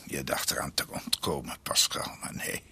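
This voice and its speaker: Casting: ageing self-reproaching doctor, husky yet forceful, halting delivery, radio play. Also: male voice, rumbling low, fairly even intonation, whispered, heavily acted. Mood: bitter despair. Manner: halting, muttering to himself in broken phrases. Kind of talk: radio drama acting.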